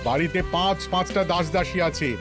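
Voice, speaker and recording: A man's voice: sits high, a little fast, over music, close to an everyday voice. A man playing a genial, proud landlord father in a cartoon, effusive and fawning in manner. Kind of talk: cartoon voice acting.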